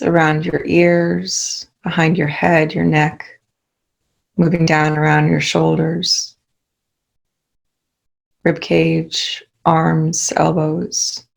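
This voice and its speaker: A woman guides a meditation in a low-pitched voice with a noticeable creak. She speaks slowly and calmly, leaving soothing pauses between short instructions. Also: low-quality recording.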